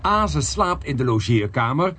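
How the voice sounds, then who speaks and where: An actor playing a defensive, questioning junior police detective who argues plainly in a radio crime drama. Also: male voice, mid-range voice, sing-song, moderate pace, pushed and bright, husky, heavily acted. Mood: defensive, indignant. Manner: argumentative, questioning. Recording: noisy radio drama with vehicle sounds.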